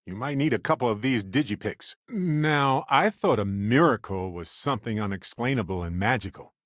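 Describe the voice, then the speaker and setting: A man acting as a good-hearted, wisecracking space explorer in a video game. His voice swings freely up and down, chatty and wry. It sits at a medium male pitch and is recorded dry and close, with very little room. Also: moderate pace, no echo, lightly acted. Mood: earnest, reflective. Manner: wry, chatty, playfully expressive. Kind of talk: video game character dialogue.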